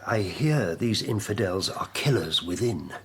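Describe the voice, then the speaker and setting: Scheming Elizabethan gentleman, background noise. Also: on the low side, animated, a little slow. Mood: insinuating, menacing. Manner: formal, rhetorical, insinuating. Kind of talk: period radio drama acting.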